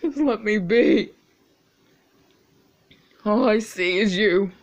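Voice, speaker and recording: A man's voice: high voice, slow pace. An actor playing a tormented man who hears his mother's voice, cursing and talking to her as if she were in the room. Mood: bitter. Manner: anguished, cursing, talking to someone absent. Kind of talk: dramatic monologue.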